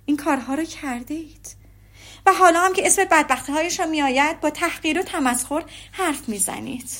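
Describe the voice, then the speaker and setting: A woman voicing a spirited, sharp-tongued young woman in an audiobook, speaking in a heated, rising and accusing way. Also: sits high, natural voice. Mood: indignant.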